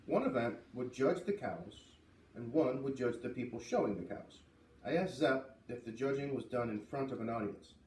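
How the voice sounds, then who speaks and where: A man's voice: mid-range voice, a little mellow. Man reading a storybook aloud, echoey room. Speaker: storybook reader. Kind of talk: expressive children's book read-aloud. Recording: roomy, slightly distant, faint background noise.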